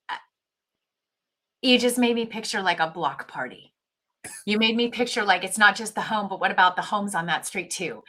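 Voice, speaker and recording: A woman co-hosting a live show, chatty and quick to laugh, who says she has a big booming voice. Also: sharp tone. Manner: chatty, laughs easily, self-described booming voice. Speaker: podcast co-host. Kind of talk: casual live-stream chat.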